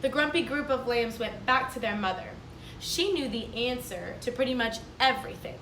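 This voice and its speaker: A woman, a kindergarten teacher, reading a storybook aloud to children in a clear, explaining, sing-song manner. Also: on the high side, animated, moderate pace, background noise. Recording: echoey room, mic at a distance.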